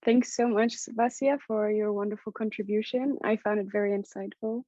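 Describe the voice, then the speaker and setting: A woman's voice: mid-range voice, steady tone, normal speed, mellow, dry recording. A woman moderating a webinar, politely reading out a speaker's introduction over a video call. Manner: polite, reads a speaker bio. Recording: dry muffled call audio.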